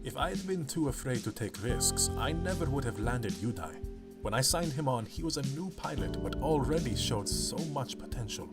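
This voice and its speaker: An actor playing a confident, polite corporate sponsor in a visual novel, speaking in a measured, thoughtful way. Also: male voice, medium pitch, animated, natural voice. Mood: measured, frank. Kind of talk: visual novel character acting. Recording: loud music behind the voice. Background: music with guitar.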